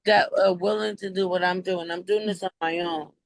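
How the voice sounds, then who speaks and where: A woman in her forties with a raspy voice, speaking earnestly and a bit apologetically on a video support call. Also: on the low side, steady tone, brisk, no echo. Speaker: support group member. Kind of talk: casual group chat.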